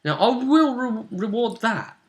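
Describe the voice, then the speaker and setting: A man's voice: very high-pitched, sing-song, measured pace. A British man talking casually and animatedly as game master, recapping a tabletop role-playing session and acting out little asides. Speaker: game master, host of a tabletop RPG vidcast.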